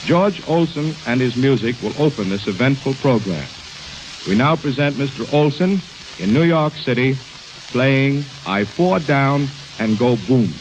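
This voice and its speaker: A man with an American accent announcing in a formal, measured, declamatory 1920s radio style. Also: mid-range voice, animated. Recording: noisy, crackly 1920s radio recording.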